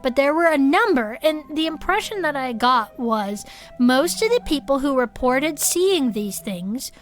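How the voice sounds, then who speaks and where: A woman's voice: high voice, animated, a little slow, faint music behind. A woman playing a chatty, earthy cryptid investigator in improvised comedic fiction podcast banter, talking in an earnest, joking, conversational way. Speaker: podcast co-host.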